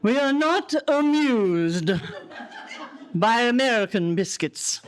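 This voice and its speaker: A woman's voice: on the high side, lively intonation, slow, crowd noise. A woman playing Queen Victoria as a haughty, imperious monarch in a comic live radio sketch. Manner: imperious, stately.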